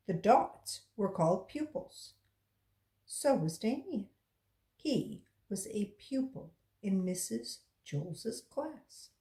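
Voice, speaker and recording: A woman reading a children's story aloud as a volunteer, in a deliberate storytelling style. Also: mid-range voice, mellow.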